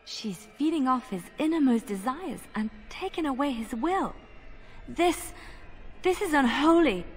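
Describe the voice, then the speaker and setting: A woman playing a gentle, devout young companion in a fantasy video game, earnest and caring. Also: high voice, lively intonation, unhurried, soft background music. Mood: troubled.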